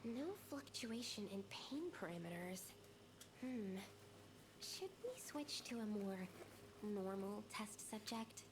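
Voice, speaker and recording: A woman's voice: mid-range voice, slow pace, close to an everyday voice. A woman voicing an earnest young alchemist with a precise, polite manner. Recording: streamed game audio with noise.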